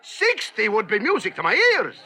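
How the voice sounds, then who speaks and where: A man voicing a pompous, impatient male auctioneer with showy, blustering patter in an animated film. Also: sits high, normal speed, exaggerated voice.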